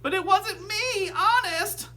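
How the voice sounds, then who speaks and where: A man voicing an innocent, wounded young schoolgirl with a high, pleading, questioning manner. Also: takes it slowly, pushed and bright, full character voice. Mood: hurt, pleading.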